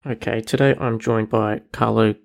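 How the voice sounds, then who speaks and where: A man's voice: on the low side, fairly even intonation, normal speed, vocal fry. A man co-hosting a casual tech podcast, chatting in an easygoing way with plenty of fillers. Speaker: podcast co-host and interviewer.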